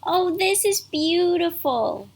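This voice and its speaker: A woman voicing a curious, excitable young granddaughter in a bedtime story, with eager questions. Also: extremely high pitch, slow pace, pitched above the performer's own voice, heavily acted. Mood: delighted.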